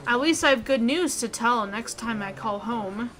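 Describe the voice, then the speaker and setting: A woman reading a visual novel aloud in an expressive way, with reactions as a gaming YouTuber. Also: fairly high pitch, moderate pace.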